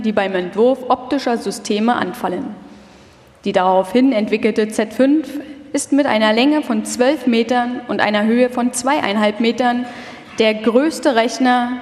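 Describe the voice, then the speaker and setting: High-pitched female narrator in a reverberant hall. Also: normal speed, a little mellow. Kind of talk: scripted documentary narration, performed live. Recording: long hall reverb, live performance.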